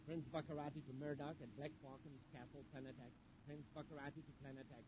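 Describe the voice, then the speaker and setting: Casting: male actor as grandiose villain prince for vintage radio melodrama, highish voice, muffled and very noisy sound. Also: little pitch movement, fast, lightly acted. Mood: guarded. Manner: grandiose, theatrical. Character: haughty, scheming villain prince of outer space. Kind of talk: 1950s radio serial melodrama.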